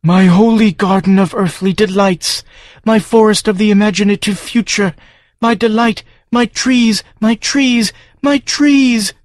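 A man's voice: high-pitched, steady tone, unhurried, no echo, studio quality, voice pushed up. A man voicing a huge many-legged alien farm creature, grief-stricken and theatrical, wailing in a florid, dramatic way.

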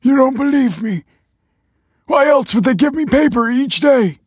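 Frantic, high-strained old father. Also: lively intonation, unhurried, slightly rough, no echo, clean studio sound, heavily acted. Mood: demanding, desperate. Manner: desperate, indignant shouting. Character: agitated, paranoid old father just out of prison.

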